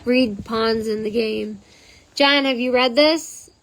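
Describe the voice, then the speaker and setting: A woman with a hoarse voice reading a text aloud and breaking off into chatty side notes, as the host of her own channel. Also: a little high, steady tone, slow, a little hiss or hum, poor audio. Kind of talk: reading aloud with casual asides.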